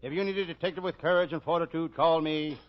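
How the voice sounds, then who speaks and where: An American man playing a deadpan hard-boiled private detective, narrating a 1940s radio parody. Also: a little high, fast, natural voice. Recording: noisy, muffled 1940s radio recording.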